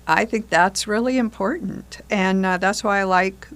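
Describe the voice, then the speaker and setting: A woman's voice: mid-range voice, normal speed. An older woman talking conversationally as a member of a community theater group, explaining things and posing questions. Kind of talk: conversational discussion.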